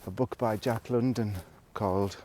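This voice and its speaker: A man talking casually to a vlog audience in a reflective, rambling monologue, musing haltingly and trailing off between thoughts. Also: fairly low pitch, fairly even intonation, dark tone. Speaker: vlogger. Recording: noticeable background noise, clicks.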